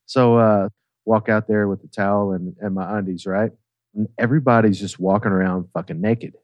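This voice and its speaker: Low-voiced man spinning a casual story. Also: steady tone, normal speed, no echo, highs cut off. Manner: swearing, conversational, checks in with "right?". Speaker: podcast guest telling a personal story.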